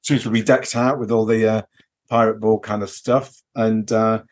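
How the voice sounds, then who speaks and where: A man with a fairly low voice talks informally, as if to a friend on a podcast. He feels his way through sentences in a hesitant, rambling fashion, padding them with small filler phrases. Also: flat delivery, normal speed. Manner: hesitant, rambling delivery full of "you know" and "kind of". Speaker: podcast co-host. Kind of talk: casual off-the-record chat, recounting a convention visit.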